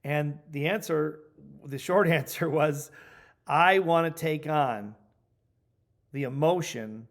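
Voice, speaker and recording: Higher-pitched man, emphatic coaching trainer. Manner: emphatic, deliberate, repeats key points for stress. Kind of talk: instructional training talk.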